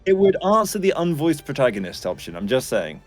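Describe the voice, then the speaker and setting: A man talks fast, and his pitch moves a lot as he trades dry jokes as a stream guest. His voice sits in a middle register. Music plays clearly behind him. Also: big pitch swings. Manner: dry joking, quick. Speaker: voice director guest. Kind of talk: casual livestream chat.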